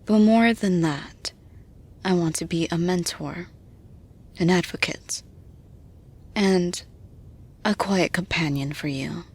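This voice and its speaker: A woman playing a nurturing, maternal boss in an intimate roleplay, speaking in a breathy, soothing hush that often drops to whispers. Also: fairly low pitch, exaggerated voice. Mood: gentle, reassuring. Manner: soothing, hushed, unhurried, often dropping to whispers. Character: nurturing, maternal female boss offering gentle patronage. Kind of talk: intimate ASMR-style roleplay monologue.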